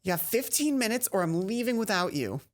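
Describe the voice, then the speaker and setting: Woman playing a bold, excitable schoolgirl. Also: mid-range voice, a little fast, natural voice. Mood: bossy.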